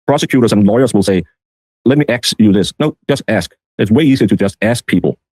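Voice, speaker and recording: Low, dark-voiced man. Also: lively intonation, fairly quick, no echo. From Denmark. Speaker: streamer. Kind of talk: casual rambling commentary.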